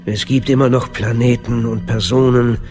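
An actor playing a calculating, commanding Sith master in a radio drama, speaking in a deliberate, imperious way. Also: male voice, medium pitch, steady tone, lightly acted. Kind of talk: German science-fiction radio drama acting. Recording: dramatic music under the voice.

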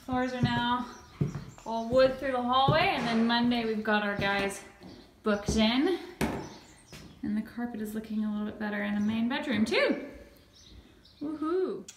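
A woman's voice: fairly high pitch, measured pace, long pauses. Upbeat young woman in an echoey empty house. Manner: chatty, upbeat, laughs often. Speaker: vlogger. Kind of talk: home renovation vlog. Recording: echoey empty rooms, noisy.

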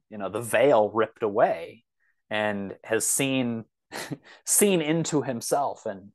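A man in his thirties talking casually as a podcast guest, thinking aloud in a halting, thoughtful way. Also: medium pitch, dry recording.

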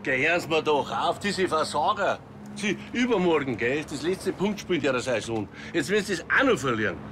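An actor playing a grumbling, flustered sports-ground caretaker with a Bavarian accent and a halting manner. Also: male voice, a little high, very animated, noisy background. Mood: indignant.

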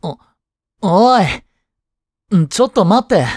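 Teen schoolboy boyfriend, played by a young man: teasing yet tender, unhurried, lively intonation, intimate voice drama. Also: high voice, no room sound, studio quality, heavily acted. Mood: flustered. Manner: casual, soft, hesitant pauses. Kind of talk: Japanese boyfriend voice drama, intimate one-sided dialogue.

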